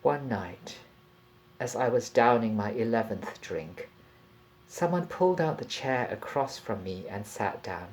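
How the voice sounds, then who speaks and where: A man reading a passage from a novel aloud in a measured, deliberate and expressive storytelling manner. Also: medium pitch, rough recording.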